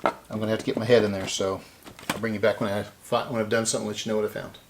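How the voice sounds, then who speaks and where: A man with a low, gravelly voice talks through a hands-on repair in a casual way. He explains each step as he works, thinking aloud. There is some faint noise behind him. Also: little pitch movement, rapid-fire. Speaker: repair video host.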